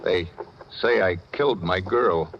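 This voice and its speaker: An actor playing a tough, bewildered fugitive in an old-time radio drama, with an American accent and a clipped, colloquial delivery. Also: male voice, sits low, measured pace, gravelly. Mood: grim. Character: tough, bewildered American man on the run, falsely accused. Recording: dull, noisy vintage radio recording.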